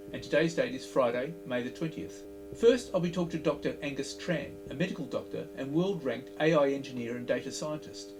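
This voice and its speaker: A man hosting a business podcast, reading his intro and asking questions in a measured, announcer-like way. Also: medium pitch, poor audio. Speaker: podcast host. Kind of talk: scripted intro and interview questions. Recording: music bed under the voice.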